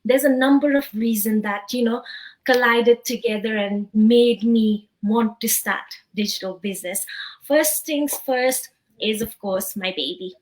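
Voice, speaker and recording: A woman's voice: on the high side, a little slow, rough recording. A woman in her thirties from Nepal, living abroad, talking through her story as an interview guest while a little unwell. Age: 30s. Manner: chatty, reflective, says "you know" often. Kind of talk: casual interview.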